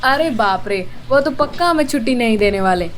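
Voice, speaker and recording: A sassy young woman played by an actress in a radio play, with a fairly high voice. She banters with her office friends and has a sarcastic streak. Faint ambient noise sits behind her. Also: lively intonation, moderate pace, lightly acted. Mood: dismayed. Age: young adult. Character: sassy, social-media-loving young working woman. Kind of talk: scripted radio drama acting.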